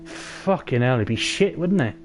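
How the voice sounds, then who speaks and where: A man streaming a video game, talking to the characters in loud, sweary, rambling banter. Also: mid-range voice, animated, normal speed, faint music behind. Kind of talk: game livestream commentary.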